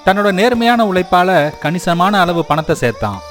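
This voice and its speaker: A man with a somewhat high voice narrates a moral story in a scripted storytelling style. Music plays clearly behind him. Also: very animated, moderate pace, a bit bright.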